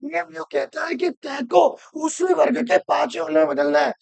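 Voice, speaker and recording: A woman teaching Hindi grammar, hoarse from a cold, asking questions and answering them herself while repeating the rules loudly. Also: high-pitched, fairly even intonation, normal speed, no echo. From Rajasthan. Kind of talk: classroom-style lesson.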